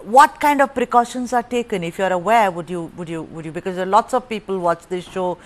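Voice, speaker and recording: A woman's voice: mid-range voice, brisk. Probing news anchor woman. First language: Tamil. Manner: probing, pressing questions. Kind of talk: live TV interview.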